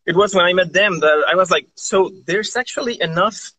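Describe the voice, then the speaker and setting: A man's voice: high-pitched, moderate pace, sharp tone, rough recording. A man from Chile, chatting casually as an interview guest in a rambling way, often saying "like". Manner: rambling, peppered with "like". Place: live video call.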